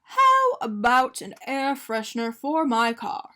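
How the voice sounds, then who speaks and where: A woman's voice: high-pitched, very animated, takes it slowly, bright, forceful tone, exaggerated voice. A woman voicing a fussy, polite and kindly man in a picture-book story, speaking in a matter-of-fact way. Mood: hopeful. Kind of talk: animated picture-book character reading.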